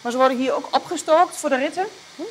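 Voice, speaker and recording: A woman's voice: high-pitched, quick pace, slight background noise. A woman working as a museum guide, explaining things in a friendly way with plenty of hesitations.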